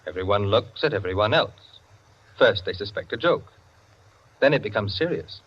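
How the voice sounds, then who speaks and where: An actor playing an opinionated, self-satisfied clubman who lectures his friends in theatrical 1940s radio style, with savored pauses. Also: lowish voice, lively intonation. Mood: savoring, dramatic. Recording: dull, band-limited 1940s radio recording.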